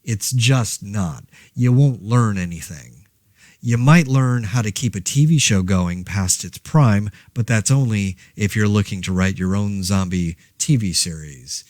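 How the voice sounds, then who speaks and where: An actor playing a laid-back man podcasting alone through a zombie outbreak, rambling in a wry, deadpan, hesitant way. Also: fairly low pitch, no echo, clean studio sound, lightly acted. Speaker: podcast host. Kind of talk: casual monologue, fictional audio diary.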